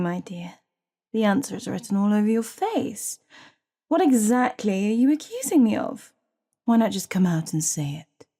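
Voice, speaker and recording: A woman playing a scheming, smug evil royal advisor with magic in a fantasy audio drama, breathy and often near a whisper, taunting with mock courtesy. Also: medium pitch, mellow, full character voice. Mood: feigned innocence. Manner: theatrical, mock-courteous, taunting, drawn-out phrasing.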